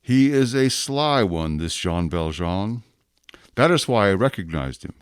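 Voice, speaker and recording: A man's voice: on the low side, lively intonation, measured pace, clear, resonant tone, no room sound, clean studio sound. A man playing a rigid, bitter police inspector in a period audio drama, speaking in a clipped, emphatic, formal way. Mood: brisk, scornful. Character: rigid, self-punishing male police inspector, stern and bitter. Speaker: actor. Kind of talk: radio-style period drama acting.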